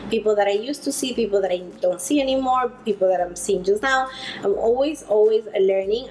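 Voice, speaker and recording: A woman's voice: medium pitch, a little mellow, slight background noise, low-quality recording. A woman in her twenties from the Dominican Republic who moved to the United States, chatting casually to camera as a beauty vlogger, recorded indoors at home. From Dominican Republic, later United States. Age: 20s. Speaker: beauty vlogger, freelance makeup artist.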